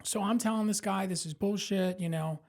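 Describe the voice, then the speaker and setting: A man's voice: sits high, normal speed. A male comedian with a New York accent, telling a rambling, profane story in a casual podcast chat. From Brooklyn, New York. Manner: animated, profane, rambling, full of fillers and self-mockery. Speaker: stand-up comedian guest.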